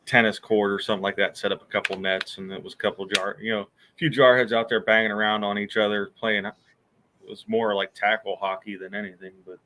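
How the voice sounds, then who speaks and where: A man's voice: lowish voice, little pitch movement, a little fast, background noise. A man co-hosting a casual podcast, a military veteran who talks in an easygoing, rambling way and chuckles often. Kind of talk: casual chat.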